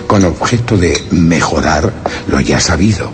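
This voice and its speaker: A man's voice: low voice, animated, measured pace, over loud music. An actor playing a solemn, wondering explorer who narrates his journal in a radio drama, grave and theatrical. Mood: grave, resolved. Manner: grave, literary, theatrical, with rhetorical questions. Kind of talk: Spanish radio drama suspense monologue.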